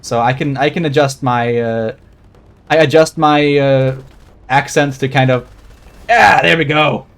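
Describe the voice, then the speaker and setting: A man's voice: mid-range voice, a little slow, fairly bright tone. A Swedish man with a general American accent, a game streamer casually rambling and swearing while chatting with viewers. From Sweden. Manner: rambling, swears freely, talks to chat while playing. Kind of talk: casual live gaming commentary.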